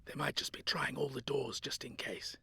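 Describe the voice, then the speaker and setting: Urgent older man, creaky and bright. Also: mid-range voice, steady tone, normal speed, no echo, heavily acted. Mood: hushed, tense. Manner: urgent, muttering, often dropping into hushed whispers. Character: anxious but determined grandfather sneaking through an escape. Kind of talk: audio drama acting.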